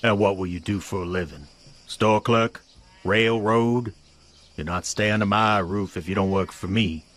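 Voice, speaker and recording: A man's voice: fairly low pitch, measured pace, a bit bright. An actor playing a stern, domineering farmer father of the early 1900s, scornful and rustic, in an audio drama. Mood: unyielding. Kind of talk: period audio drama acting.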